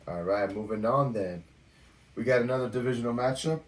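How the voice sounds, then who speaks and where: A man co-hosting a sports podcast, going over game matchups in a relaxed chatty way with lots of fillers. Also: medium pitch, brisk, far from the mic, slight background noise, rough recording. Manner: relaxed, talks through stats with fillers. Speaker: podcast co-host. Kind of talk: casual sports podcast chat.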